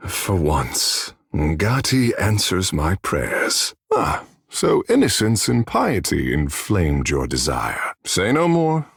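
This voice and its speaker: Low, gravelly, vain fish-man demigod. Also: no room sound, studio quality, exaggerated voice. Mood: wistful storytelling. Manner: theatrical, boastful, languid storyteller. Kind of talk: fantasy video game character dialogue.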